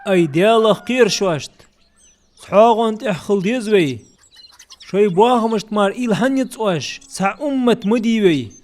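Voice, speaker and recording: High-voiced male cartoon character, played by a man, dubbed dialogue delivery. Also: lively intonation, moderate pace, a little mellow. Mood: talkative. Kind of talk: animated cartoon dubbing.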